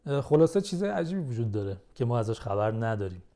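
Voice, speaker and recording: A man's voice: mid-range voice, big pitch swings, mellow. A man speaking as a podcast guest, explaining things in casual conversation.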